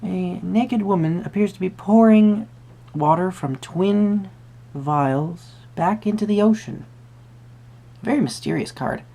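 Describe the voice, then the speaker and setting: A performer playing a whimsical magical tarot reader, musing hesitantly in a soft-spoken roleplay with a creaky voice. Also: medium pitch. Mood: musing, gentle. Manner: hesitant, musing, pausing with hums.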